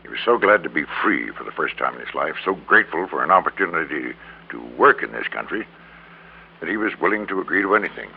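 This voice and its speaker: An actor playing a greedy, defeated middle-aged businessman in an old radio drama, confessing in a halting, resigned way. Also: deep voice, expressive, gravelly. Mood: rueful confession. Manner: halting, resigned, repeats words for emphasis. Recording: crackly, narrow-band old radio recording.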